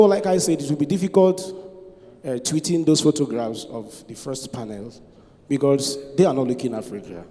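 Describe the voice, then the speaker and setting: Earnest Nigerian man, dark voice, echoey hall. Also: mid-range voice, a little hiss or hum. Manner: earnest, formal, proud. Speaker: audience member. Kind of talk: public comment at a forum.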